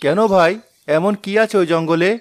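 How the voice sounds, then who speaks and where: A man's voice: fairly high pitch, fairly even intonation, normal speed, a little hiss or hum, lightly acted. A man playing a young newcomer woodcutter in a cartoon, polite, inquisitive and sceptical. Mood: curious.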